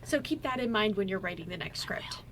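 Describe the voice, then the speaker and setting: A woman's voice: mid-range voice, expressive, a little fast, over a noisy crowd. A young woman in casual banter on a radio show panel, in a very noisy, clicky recording.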